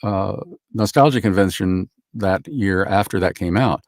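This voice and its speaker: A man's voice: sits low, a little slow, no echo. A man speaking as a guest in a casual podcast chat, earnest and emphatic, repeating phrases to drive his point home. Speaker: guest actor.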